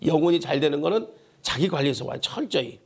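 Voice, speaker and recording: A male pastor delivers a church sermon in a high, worn voice. It is hoarse and heavily creaky. He exhorts emphatically, driving key phrases home by repeating them. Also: lively intonation, fast. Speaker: pastor preaching a Sunday sermon. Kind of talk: Korean church sermon with call-and-response prompts. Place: church service.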